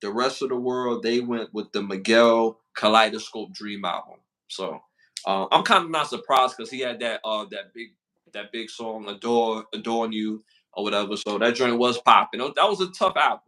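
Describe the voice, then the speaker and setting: Bright-voiced Brooklyn man chatting. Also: fairly low pitch, moderate pace. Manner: slangy, repeats himself, reads out list questions. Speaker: podcast co-host. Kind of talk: casual podcast chat.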